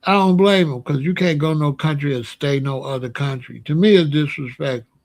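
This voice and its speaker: A man with a somewhat high voice runs his own opinion show. He speaks bluntly in loose street slang and keeps firing rhetorical questions. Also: normal speed. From Chicago. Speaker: show host. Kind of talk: casual opinion monologue.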